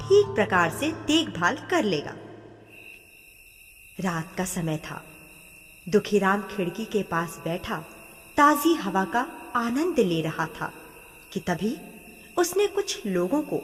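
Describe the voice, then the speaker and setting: Slow, expressive female storyteller. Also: medium pitch. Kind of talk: scripted moral-story narration. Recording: long hall reverb, faint music.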